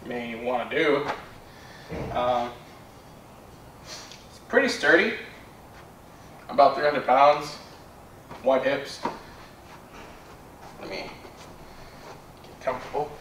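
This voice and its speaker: A young man giving a casual product demonstration in a plainspoken, low-key way, recorded indoors on a distant camera mic. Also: lively intonation, slow pace, long pauses, a bit bright. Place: bare indoor room with carpet. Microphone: far camera. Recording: roomy echo, distant mic, background noise.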